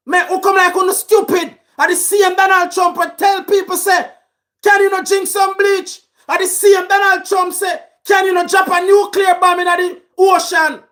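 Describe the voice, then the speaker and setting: A man with a Jamaican accent, shouting through an angry political rant. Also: very high voice. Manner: shouting, raging.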